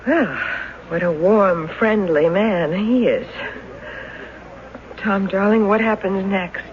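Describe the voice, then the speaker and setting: Woman acting a slow, wry wife. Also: medium pitch, close to an everyday voice. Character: wry, unhurried, affectionate wife. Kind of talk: radio drama acting. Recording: dull noisy vintage radio drama recording.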